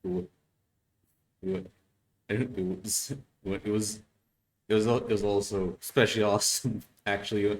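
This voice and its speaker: A man's voice: on the low side, steady tone, unhurried, pauses often, poor audio. A man giving casual, unscripted reaction commentary as a host, stammering and repeating words as he goes.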